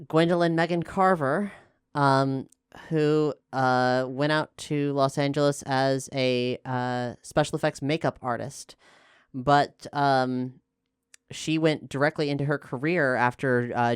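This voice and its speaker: A man from Florida with an American accent, talking casually and explaining things in a halting way, often correcting himself as he thinks aloud. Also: medium pitch, clean tone, no echo, clean studio sound. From Florida, United States. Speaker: actual play podcast player and co-host.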